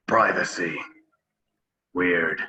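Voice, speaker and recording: A man playing a gruff, menacing guard and commander in a drama table read, giving clipped orders. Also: low-pitched, extremely slow, bright, forceful tone, heavily acted. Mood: gruff, suspicious. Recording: clearly noisy with hiss.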